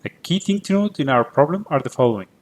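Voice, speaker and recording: A man from Cyprus, a native Greek speaker with a Greek Cypriot accent, giving a prepared conference talk in a clear, methodical way. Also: fairly high pitch, expressive, fast talker. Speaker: conference presenter.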